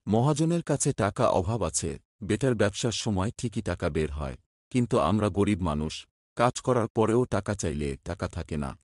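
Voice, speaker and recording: A man's voice: on the low side, very animated, moderate pace, no room sound, studio quality. A man playing a concerned, grumbling poor village labourer in a cartoon, familiar and plain-spoken.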